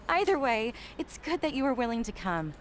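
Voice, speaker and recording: A woman voicing an eager, persistent young academy researcher in a video game, excitable and keen to persuade. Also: a little high, a little hiss or hum.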